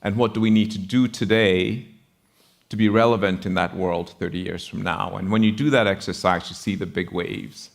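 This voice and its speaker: Low-voiced, deliberate Ghanaian man. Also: fairly even intonation, vocal fry. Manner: measured, deliberate, pausing between phrases. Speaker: panelist, university founder. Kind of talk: panel discussion, explanatory speech.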